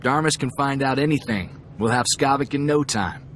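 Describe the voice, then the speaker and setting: An actor playing an eager, loyal gunslinger sidekick in a video game, with an upbeat manner. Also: male voice, medium pitch, moderate pace, fairly bright tone, slight background noise, lightly acted. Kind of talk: video game dialogue acting.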